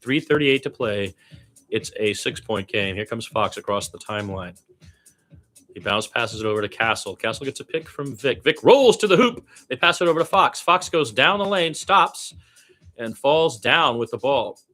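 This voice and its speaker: A man with a bright, forceful voice at an average male pitch does radio basketball play-by-play. He is openly partisan and blunt with his opinions. His delivery is animated, moving between low asides and loud, high calls, and he repeats names and phrases for emphasis. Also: normal speed. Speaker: radio play-by-play announcer for the home team.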